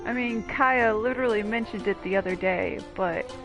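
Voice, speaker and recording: A woman's voice: medium pitch, moderate pace, poor audio. A woman giving casual, wry commentary as she plays a game. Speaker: game streamer. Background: game music.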